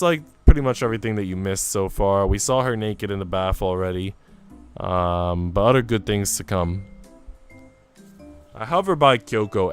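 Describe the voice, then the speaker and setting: A man's voice: lowish voice, clear, resonant tone. A man streaming a visual novel, moving between story narration and casual chat with viewers. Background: soft background music.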